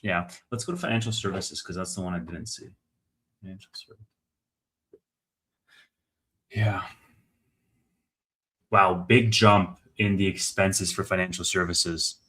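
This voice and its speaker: A man with a fairly low voice and a very bright, forward tone. He hosts a live financial stream in a conversational way, thinking aloud as he goes through the numbers. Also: steady tone, a little slow, long pauses. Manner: conversational, thinking aloud while reading figures. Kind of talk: casual live earnings commentary.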